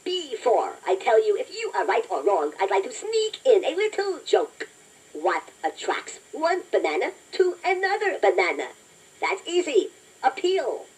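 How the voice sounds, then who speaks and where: A woman voicing a chatty, playful toy robot that hosts a children's quiz, encouraging the listener in a deliberate, self-deprecating way. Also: high-pitched, wildly swooping pitch, breathy edge, heavily acted. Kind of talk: scripted children's quiz narration. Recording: noisy toy cassette playback, distant from mic.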